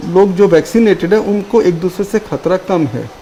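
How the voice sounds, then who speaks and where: Guy doc on a call-in show, high voice but soft and mellow, calm and patient, bit of faint hiss behind. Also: moderate pace. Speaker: medical expert on call-in show. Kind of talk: explaining health advice.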